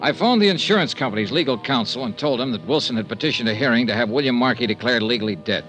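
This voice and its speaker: Man playing a hard-boiled, animated radio detective. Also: mid-range voice, quick pace, lightly acted, poor audio. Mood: wry narration. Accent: American. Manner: blunt, hard-boiled, wry. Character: tough, cynical male insurance investigator, radio noir hero. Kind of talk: 1950s radio detective acting and narration. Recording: vintage radio drama recording, faint music.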